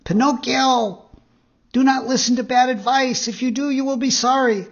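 A small storybook blackbird, played by a man, urgent and cautioning. The voice is very high, sharp and clear, with slightly dull sound. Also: fairly even intonation, moderate pace. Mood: urgent warning. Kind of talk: storybook character reading.

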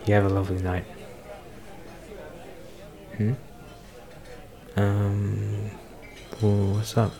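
A man acts out a shy male celebrity flirting with a stranger in an ASMR roleplay. His voice is deep and he takes his time, hesitating bashfully as he goes. A bar crowd chatters clearly behind him. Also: level tone, full character voice, poor audio. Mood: bashful, awkward. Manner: hesitant, halting, nervous soft laughs. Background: crowd noise of a bar.

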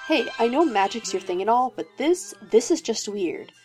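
A woman's voice: on the high side, lively intonation, moderate pace, music in the background, lightly acted. A woman reading a story aloud, voicing a cocky, casual man who teases. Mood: teasing. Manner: casual, teasing. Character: cocky casual male billionaire, teasing. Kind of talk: fan fiction reading.

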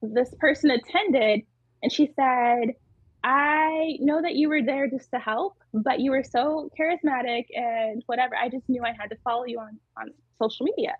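Tiny-voiced giggly woman, slightly high. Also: normal speed, breathy edge, dry recording, phone-quality sound. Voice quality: small, tiny voice. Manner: giggly, hesitant with many fillers. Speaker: muralist guest. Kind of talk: casual interview chat.

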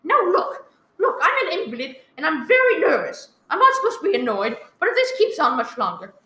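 A woman playing a nervous, demanding bedridden wife in an old-time radio thriller, agitated and stammering with shrill complaints. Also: sing-song, fairly quick, bright, forceful tone, exaggerated voice. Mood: indignant. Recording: roomy, echoing live recording.

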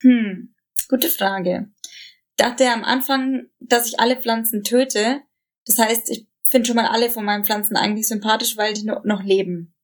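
Young woman, kinda high voice, giggly casual podcast chat. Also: steady tone, normal speed. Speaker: podcast co-host.

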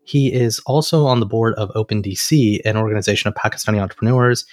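Earnest podcast host, dry close sound. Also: male voice, lowish voice, fast talker, no room sound. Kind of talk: podcast intro and interviewing.

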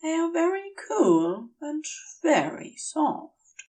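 A woman's voice: high-pitched, very animated, slow, mellow, far from the mic, low-quality recording. A woman reading scripted fiction aloud as a narrator. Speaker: podfic narrator.

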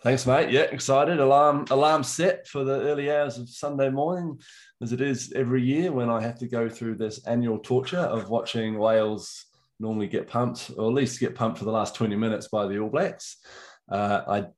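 A middle-aged man raised in England, talking eagerly as a guest in a casual chat. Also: mid-range voice. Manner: chatty, enthusiastic storytelling.

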